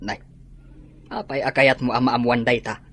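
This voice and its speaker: Very low, rough-edged actress as meddlesome gossiping parent in audio comedy drama. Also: female voice, fairly even intonation, moderate pace. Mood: defensive. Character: meddlesome, gossiping parent watching over grown children.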